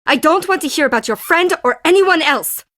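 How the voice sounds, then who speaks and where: Volatile female artist, adventure game. Dramatic, emphatic, wide swings of pitch, studio-dry. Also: expressive, brisk, a bit bright, dry recording. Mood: bitter, snapping. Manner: dramatic, emphatic, abrupt shifts. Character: intense, volatile woman artist with theatrical temper.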